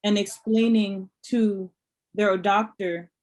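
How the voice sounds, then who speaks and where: An interviewer with a mid-range pitch keeps the talk going with short affirmations. The voice sums up each point before moving on to the next question. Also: fairly even intonation, slow, darkish tone. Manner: encouraging, frequent short affirmations.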